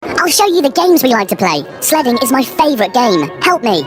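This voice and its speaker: A woman's voice: fairly high pitch, sing-song, fairly quick. A woman voicing an eager, playful young boy who guides a children's storybook game. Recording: loud music behind the voice. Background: music.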